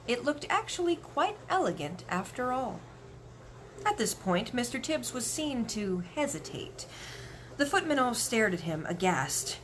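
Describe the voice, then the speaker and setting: Female story reader: expressive, animated intonation, children's read-aloud style, faint background noise. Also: a little high.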